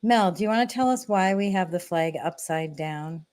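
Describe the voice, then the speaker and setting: A woman's voice: on the low side, normal speed, darkish tone. An American woman hosting an online talk show, speaking casually and affirming her guests. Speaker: host.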